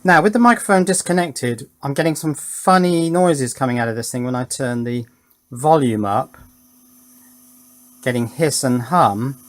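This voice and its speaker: A man's voice: medium pitch, a little slow. A man giving a casual hands-on electronics demonstration, thinking aloud and talking to himself as he works. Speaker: electronics hobbyist presenter.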